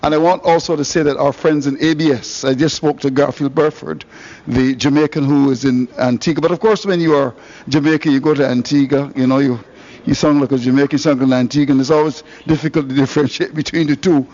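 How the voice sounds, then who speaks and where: A man's voice: on the high side, fairly even intonation, quick pace, a little mellow. A man hosting cricket radio, giving on-air thanks with jovial, laughing asides. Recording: dull broadcast audio.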